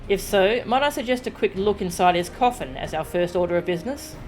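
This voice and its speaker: A woman's voice: medium pitch, lively intonation, fairly quick, a little mellow, faint music behind, close to an everyday voice. A woman voicing a suave, wry male rogue adventurer, reading video game dialogue with wordy, dry wit. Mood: wry, casual. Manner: wordy, dryly witty. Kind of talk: dramatic read-aloud of video game dialogue.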